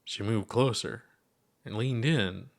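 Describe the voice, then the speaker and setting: Expressive man reading a story aloud. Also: fairly low pitch, takes it slowly.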